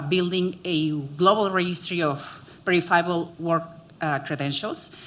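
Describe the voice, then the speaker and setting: A woman's voice: on the low side, a bit bright. A woman, speaking on a panel with many fillers and restarts. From Peru. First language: Spanish. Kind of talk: conversational panel talk.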